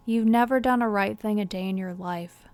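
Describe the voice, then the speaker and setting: A woman's voice: mid-range voice, moderate pace, darkish tone, clear, resonant tone, lightly acted. An actress playing a skeptical, stubborn policewoman in an audio drama, blunt and questioning. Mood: angry. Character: guarded, sharp-tongued policewoman, skeptical and stubborn.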